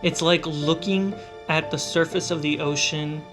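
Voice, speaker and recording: A game master sets tabletop fantasy scenes in a slow, measured voice. The phrases come short and suspenseful, building atmosphere, with soft music underneath. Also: medium pitch, steady tone. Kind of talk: dramatic tabletop game narration.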